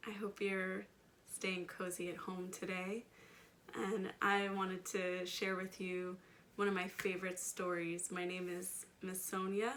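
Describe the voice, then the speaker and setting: Woman doing storytime for kids, really bright clear-cut voice, slow pace, chats right to the little ones. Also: on the low side, flat delivery, a little hiss or hum. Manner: addresses children directly with questions and simple words. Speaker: storytime reader and children's educator. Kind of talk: children's picture book read-aloud with chatty asides to young listeners.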